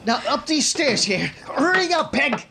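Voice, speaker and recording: A man's voice: very high-pitched, very animated, creaky, heavily acted. Guy doing flustered young radio comedy boyfriend, stammers, voice jumps all over, noisy audio. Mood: bluffing, urgent. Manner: stammering, hurried, falsely confident. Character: flustered, bumbling young man bluffing on a date. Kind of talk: 1950s radio comedy acting.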